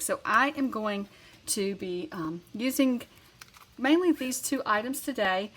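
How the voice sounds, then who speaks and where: A woman's voice: mid-range voice, pushed and bright. A woman with a Southern accent hosting a card-making channel, talking through a craft tutorial in a chatty, rambling way and correcting herself as she goes.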